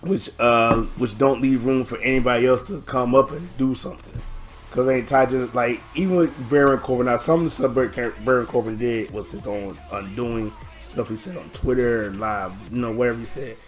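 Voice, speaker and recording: A hoarse man hosting his own channel, rambling through a casual reaction video with many halting restarts. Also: mid-range voice, steady tone, faint music behind. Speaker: channel host.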